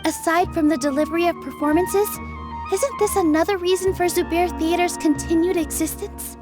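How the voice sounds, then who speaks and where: High-voiced, gentle young game heroine. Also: female voice, steady tone, moderate pace, over music. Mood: heartfelt. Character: gentle, earnest young female theater dancer. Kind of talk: video game dub acting.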